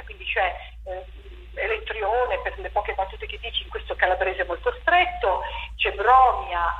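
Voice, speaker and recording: A woman playwright and director giving an interview about her stage play, talking in an animated way and restarting her sentences often as she thinks aloud. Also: medium pitch, narrow, tinny audio. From Italy. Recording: echoey room with faint noise.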